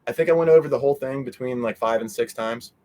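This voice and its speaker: A man talking casually as an interview guest, telling things in a rambling, animated way with quoted asides. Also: mid-range voice, fast.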